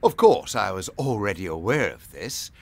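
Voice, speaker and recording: An actor as a swooping, suave pagan lord. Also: male voice, on the low side, sing-song, moderate pace, gravelly, no echo, full character voice. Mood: mocking. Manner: theatrical, urbane, condescending. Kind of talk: full-cast audio drama acting.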